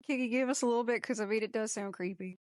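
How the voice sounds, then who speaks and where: A woman's voice: a little high, quick pace, darkish tone, no room sound. Playful woman hosting, close dry sound. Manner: playful, teasing. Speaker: podcast host. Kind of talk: casual banter among co-hosts.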